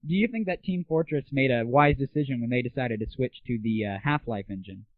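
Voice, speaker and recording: A man's voice: mid-range voice, fairly quick, dry recording. A young man hosting a casual gaming audio show, chatting and asking questions as an interviewer. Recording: tinny, phone-like, band-limited 1990s internet audio.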